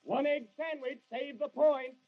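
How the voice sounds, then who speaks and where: An actor playing a sassy, combative waiter full of sarcastic wisecracks in a 1940s radio comedy. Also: male voice, very high voice, fairly even intonation, measured pace. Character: sassy, wisecracking waiter quick with insults and diner slang. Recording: muffled, noisy old radio recording, clicks.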